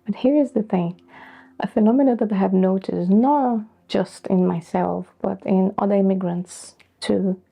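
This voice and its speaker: A middle-aged woman from Brazil whose first language is Portuguese, speaking thoughtfully to camera in a soft, breathy way with pauses. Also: mid-range voice. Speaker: vlogger. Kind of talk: reflective personal talk to camera.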